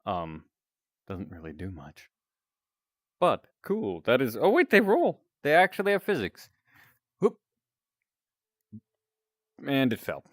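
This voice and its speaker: A man with a deep, announcer-like voice, chatting casually with viewers while he plays a game. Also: slow, long pauses, dry recording, clean studio sound. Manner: relaxed, rambling, chatting to viewers. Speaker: streamer.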